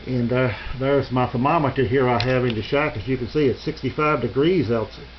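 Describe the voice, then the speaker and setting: Halting American man, dull lo-fi sound. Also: medium pitch, steady tone. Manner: halting, rambling, folksy and polite. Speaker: amateur radio hobbyist giving a tour. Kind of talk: casual unscripted show-and-tell narration. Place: radio room at home. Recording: dull lo-fi home video, faint noise.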